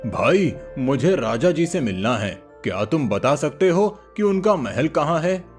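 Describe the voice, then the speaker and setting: A man's voice: fairly high pitch, sing-song, measured pace, soft background music. A man voicing an honest village cowherd in a cartoon folk tale, speaking politely and earnestly. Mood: polite, curious.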